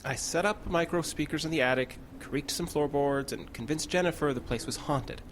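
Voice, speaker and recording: An actor playing a scheming, nervous young ghost hunter in a comic radio drama, with a hurried, evasive manner. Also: male voice, a little high, a little hiss or hum, close to an everyday voice. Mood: conspiratorial.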